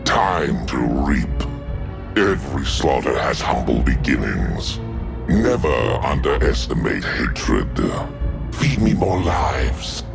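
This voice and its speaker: An actor voicing a bloodthirsty, sentient male scythe that taunts its foes in a gravelly, menacing voice for a game. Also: a bass voice, sing-song, slow pace, exaggerated voice. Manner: gloating taunts. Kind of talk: game voice lines. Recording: game audio with music.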